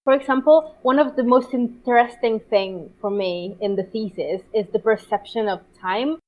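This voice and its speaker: A woman with an Italian accent, a translator being interviewed, talking in halting bursts with many restarts. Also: a little high. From Italy. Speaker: guest translator. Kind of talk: interview over a call. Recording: dull lo-fi call audio.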